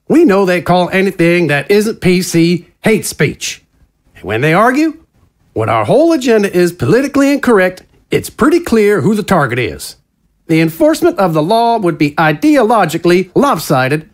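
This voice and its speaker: Glad-handing political insider, high-pitched and very animated, folksy, smooth, for audio drama. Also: male voice, normal speed. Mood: brisk, confident. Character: slick, glad-handing male conservative politician.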